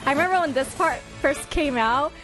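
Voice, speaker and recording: A woman giving casual live-stream reaction commentary in an expressive, gushing, slangy fan style. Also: brisk, faint music behind, poor audio. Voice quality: high-pitched voice. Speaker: streamer hosting a ranking of game character trailers.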